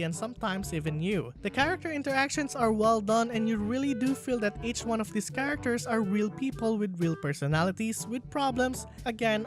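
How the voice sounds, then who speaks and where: A woman narrating a scripted video game review in an earnest, chatty way on a failing home microphone. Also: medium pitch. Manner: earnest, chatty, a little rambling at the end. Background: background music.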